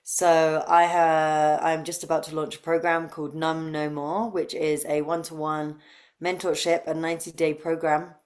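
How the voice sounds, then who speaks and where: Low-voiced English woman. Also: little pitch movement, moderate pace, darkish tone. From UK, grew up near London. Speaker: interview guest, breathwork facilitator. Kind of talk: conversational interview answers.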